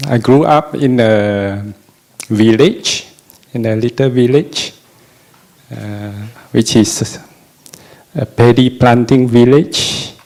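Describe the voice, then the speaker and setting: Middle-aged man, slow and halting, sharing his life story. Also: mid-range voice, darkish tone. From Malaysia. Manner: slow, pausing often with fillers. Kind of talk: personal talk to an audience.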